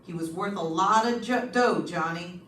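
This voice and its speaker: A woman's voice: lowish voice, moderate pace, fairly bright tone, close to an everyday voice. A woman playing a seasoned, plainspoken homicide lieutenant in an old-time radio detective drama, matter-of-fact and colloquial. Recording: roomy, distant stage recording, faint noise.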